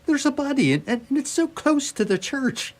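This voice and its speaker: A man's voice: extremely high pitch, sing-song, moderate pace, pitched above the performer's own voice. A man voicing an anxious, fussy parish priest who complains in agitation in a radio play. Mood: flustered, upset.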